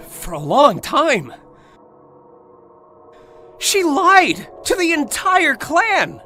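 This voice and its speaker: A man voicing a bitter, vengeful warrior cat who stammers and gasps in anguish, in an animated fan drama. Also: high-pitched, full character voice. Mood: bitter, accusing. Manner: breathy gasps, stammering, swings into shouting. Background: dramatic background music.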